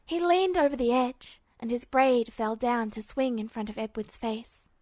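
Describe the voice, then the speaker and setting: A woman's voice: on the high side, expressive, moderate pace, darkish tone, no echo. A woman reading scripted fiction as a narrator.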